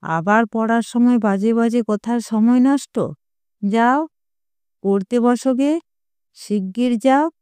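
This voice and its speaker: A woman's voice: a little high, takes it slowly, pauses often, a little mellow, clear, resonant tone, no echo. A woman storyteller voicing a stern, indignant young mother, lecturing emphatically in an audio story. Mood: scolding.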